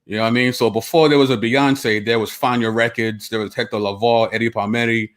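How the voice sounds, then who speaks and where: A man's voice: mid-range voice, steady tone, brisk, fairly bright tone. Brooklyn-raised man co-hosting a podcast. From United States (Brooklyn). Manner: conversational, reads sources aloud, self-correcting. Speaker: podcast co-host. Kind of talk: casual discussion, reading passages aloud.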